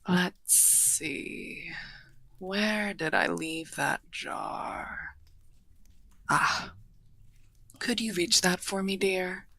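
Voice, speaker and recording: A woman's voice: fairly low pitch, pushed and bright, dry recording, full character voice. A woman playing a courteous, refined half-dragon hostess in a fantasy roleplay, speaking formally with dry teasing. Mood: hospitable, gracious. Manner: genteel, formal, unhurried wording with dry teasing. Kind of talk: fantasy roleplay audio acting, addressing the listener.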